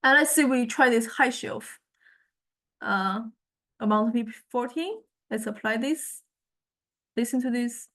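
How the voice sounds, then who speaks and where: A woman lecturer giving an informal software demonstration, hesitantly thinking aloud as she goes. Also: a little high, slow pace, pauses often. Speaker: music technology lecturer.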